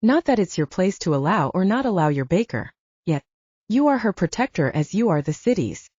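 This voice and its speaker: A woman voicing a composed middle-aged father and leader, measured and authoritative, in an audiobook. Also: fairly low pitch, very animated, darkish tone, slightly rough, no echo, lo-fi sound. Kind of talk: audiobook character dialogue.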